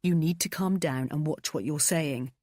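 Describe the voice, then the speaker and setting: A woman's voice: sits low, normal speed, mellow, dry recording, clean studio sound. A woman voicing an impatient young husband who snaps and dismisses. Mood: curt. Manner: snapping, dismissive.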